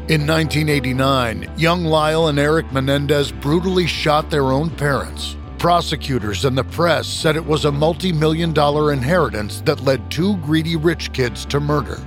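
A man with a slightly high voice reads a scripted advertisement. His delivery is dramatic and measured, with music playing under him. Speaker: ad announcer. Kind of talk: scripted ad read.

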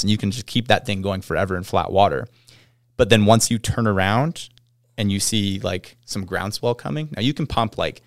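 A man's voice: fairly low pitch, vocal fry, dry recording, studio quality. A man with a Canadian accent chatting casually as a podcast guest, explaining things enthusiastically in informal surfer slang. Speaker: podcast guest, pro snowboarder.

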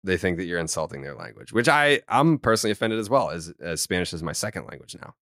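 A young man hosting a sports podcast, loud and teasing in casual chat. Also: deep voice, lively intonation, fast, no room sound, clean studio sound. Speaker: podcast host.

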